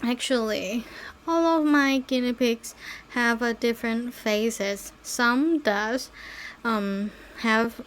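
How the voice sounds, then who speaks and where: Slow, high-pitched woman chatting casually. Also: clean tone. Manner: non-native English phrasing. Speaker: podcast host. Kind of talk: casual rambling chat, with baby talk to pets.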